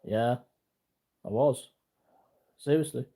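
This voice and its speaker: A man's voice: mid-range voice, slow pace, warm tone. A man in his early forties talking casually to viewers in a rambling, repetitive, boastful way. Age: early 40s. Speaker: martial arts vlogger.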